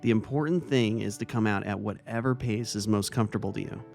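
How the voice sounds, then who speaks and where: Man playing a gentle, reassuring vice-principal over music. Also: lowish voice, a little fast, creaky. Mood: reassuring, caring. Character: kindly, reassuring male vice-principal, caring mentor to a student. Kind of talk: dramatic reading of fan fiction. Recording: music under the voice.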